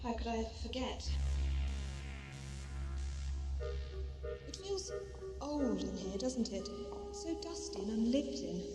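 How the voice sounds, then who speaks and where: A woman acting as a plucky young companion in a sci-fi audio drama, sceptical and drily teasing. Her voice is high and lively in its rise and fall. Loud music plays behind her. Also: normal speed. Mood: uneasy, dry. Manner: teasing, dry, questioning.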